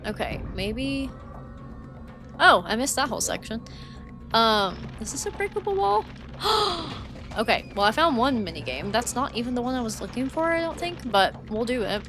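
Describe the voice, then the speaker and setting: A woman's voice: on the high side, sing-song, normal speed. Female game streamer, loose chatty delivery, slightly dried-out voice, game music underneath. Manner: chatty, rambling, frequent "like" and "um". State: voice getting dry and worn from long talking. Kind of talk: casual livestream chat while playing.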